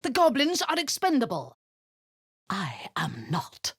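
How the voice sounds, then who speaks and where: A woman's voice: on the high side, huge pitch swings, slow, background noise, heavily acted. A woman voicing a cruel, zealous drow warlord in a video game, giving cold commands that often sink into a menacing whisper.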